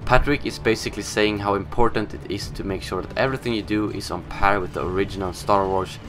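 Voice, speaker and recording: Low-voiced Swedish man hosting game news. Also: steady tone, fast talker, a bit bright. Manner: casual, opinionated. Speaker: gaming news host. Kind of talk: scripted news commentary.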